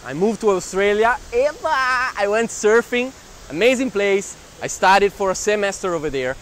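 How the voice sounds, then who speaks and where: High-voiced young Italian man outdoors. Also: moderate pace. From Italian family, raised near Milan. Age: 20s. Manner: animated, exclamatory, repeats phrases. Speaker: travel filmmaker. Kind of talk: talking to camera about life and travels. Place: outdoors in city squares and streets. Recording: clear urban street noise.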